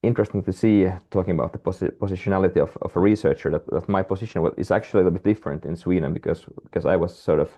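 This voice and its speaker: A man's voice: sits low, racing, hardly any pauses, warm tone, muffled recording. A Finnish-speaking man, a guest researcher, talking hesitantly with many restarts and fillers on a video call. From Finland. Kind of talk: webinar conversation.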